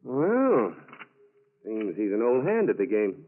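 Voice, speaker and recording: A man acting a methodical, confident FBI agent with a measured, matter-of-fact manner in a 1940s radio drama. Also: fairly low pitch, huge pitch swings, exaggerated voice. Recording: tinny, narrow-band 1940s radio recording.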